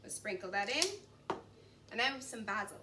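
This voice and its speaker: A woman's voice: medium pitch, normal speed. A young woman in her twenties giving a chatty, encouraging cooking demonstration while she chops and mixes in a large kitchen. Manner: chatty and encouraging, talks while chopping and mixing. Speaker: cooking instructor. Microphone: camera at a distance. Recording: distant mic, faint background noise.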